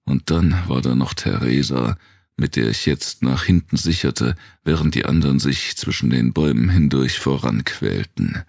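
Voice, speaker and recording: A man narrating a dark post-apocalyptic thriller audiobook in the first person, reading from a script. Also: extremely low pitch, moderate pace, creaky, dry recording, studio quality.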